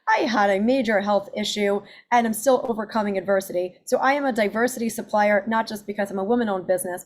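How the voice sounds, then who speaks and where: Upbeat woman in her forties pitching. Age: 40s. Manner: upbeat, self-promoting, listing services. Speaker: accent and presentation coach. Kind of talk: webinar pitch presentation.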